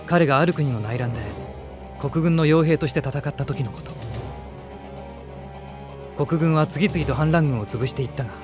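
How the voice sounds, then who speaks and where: A man acting in a Japanese radio drama as a calm, gentle young prince, speaking in an earnest, measured way. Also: a little high, natural voice. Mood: grave, reflective. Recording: studio drama with music behind.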